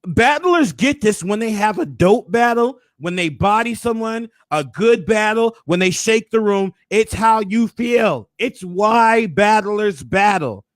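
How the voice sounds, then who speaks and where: Hoarse, high-voiced man hyping a recap. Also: animated, measured pace, few pauses, dry recording. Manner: hype, preacher-like flourishes. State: hoarse, losing his voice. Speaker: host. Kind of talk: casual commentary recap.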